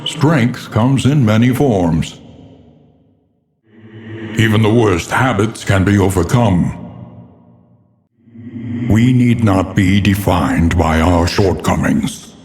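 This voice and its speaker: An actor playing a grave, brooding narrator of a gothic horror game, with solemn, theatrical, deliberate delivery. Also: male voice, fairly low pitch, darkish tone. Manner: ominous, solemn, deliberate, ornate phrasing. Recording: long cavernous reverb.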